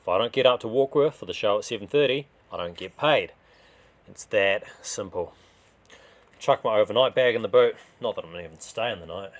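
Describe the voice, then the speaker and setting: A man's voice: fairly low pitch, a little fast. A man reading a first-person crime story as a dramatised narration.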